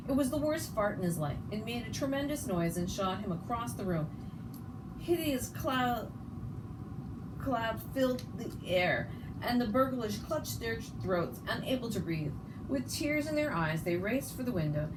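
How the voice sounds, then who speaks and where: A woman's voice: mid-range voice, distant mic. A woman reading a children's story aloud expressively, sometimes stumbling and rereading words. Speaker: storybook reader. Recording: noticeable background noise.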